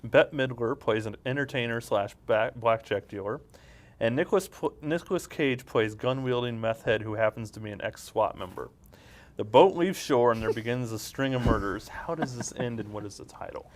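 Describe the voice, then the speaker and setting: A man's voice: mid-range voice, normal speed. A man co-hosting a podcast interview in a casual, informal and jokey way. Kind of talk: casual chat.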